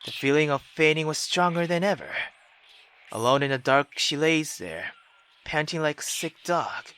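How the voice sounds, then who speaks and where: A woman's voice: sits low, expressive, normal speed, fairly bright tone. A woman playing a visual novel, giving casual, sweary reactions and hesitant thoughts aloud between stretches of reading. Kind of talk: casual reactive commentary and read-aloud narration.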